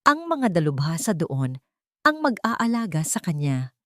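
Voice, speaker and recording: A woman's voice: fairly low pitch, very animated, a little slow, a bit bright, no echo, clean studio sound. A woman voicing a scheming rich wife with a Filipino accent and sugary, feigned niceness in an audio drama. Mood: smugly sweet. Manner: feigned sugary concern, mixing English and Tagalog. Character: scheming rich wife, falsely sweet villainess.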